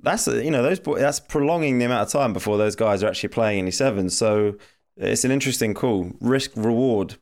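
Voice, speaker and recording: A man's voice: medium pitch, fairly quick, a little mellow. A man co-hosting a sports podcast, chatty and thoughtful. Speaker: podcast co-host. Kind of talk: casual podcast chat.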